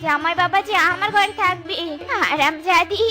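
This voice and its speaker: A woman voicing a dramatic, shrill cartoon animal mother-in-law in exaggerated family dialogue. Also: moderate pace, bright, forceful tone, soft background music. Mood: jealous, quarrelsome.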